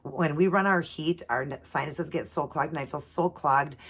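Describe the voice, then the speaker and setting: Low, raspy woman. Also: normal speed. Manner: chatty with many fillers. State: raspy from allergies. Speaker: doll channel host. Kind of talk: casual video chat.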